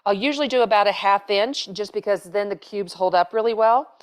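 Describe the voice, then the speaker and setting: A woman's voice: medium pitch, fairly quick. Chatty woman chef with restarts. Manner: chatty, rambling, lots of fillers and restarts. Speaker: cooking show host, chef. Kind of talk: casual cooking demonstration. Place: home kitchen, indoors. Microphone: lapel mic.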